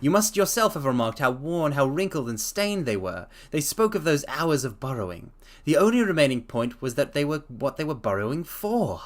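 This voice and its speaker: A man's voice: fairly high pitch, very animated, moderate pace, few pauses. A man voicing a brilliant, languid detective explaining his deductions in a theatrical storybook reading. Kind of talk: dramatic reading.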